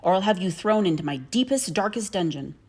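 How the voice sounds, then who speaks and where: A woman's voice: medium pitch, expressive, brisk, darkish tone, slightly rough. Woman voicing a bossy little princess girl. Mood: imperious. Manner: imperious and haughty.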